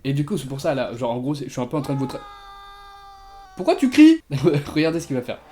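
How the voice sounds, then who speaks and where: A young man giving a comedy reaction commentary, excitable and laughing, full of street slang. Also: medium pitch, fast talker. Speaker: YouTuber.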